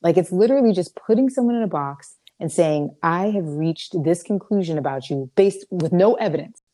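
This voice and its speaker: A young woman with a raspy voice co-hosting a podcast, talking casually and emphatically, with many fillers. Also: animated, moderate pace, warm tone. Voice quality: raspy, low voice. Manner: emphatic, preachy about self-growth, with fillers. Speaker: podcast co-host. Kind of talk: casual chat.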